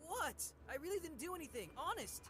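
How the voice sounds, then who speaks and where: A woman voicing an excitable, cocky, childish teenage boy trainee in an anime visual novel, eager and impatient. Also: very high voice, fairly quick, a little hiss or hum, full character voice. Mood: sheepish, impatient. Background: game music.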